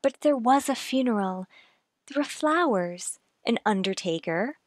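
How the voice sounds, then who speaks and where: Gentle, high-voiced concerned woman played by an actress. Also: lively intonation, unhurried, dry recording, clean studio sound. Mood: gentle, persuading. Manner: soothing, earnest. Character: gentle, concerned woman soothing a troubled household. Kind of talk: old-time radio play acting.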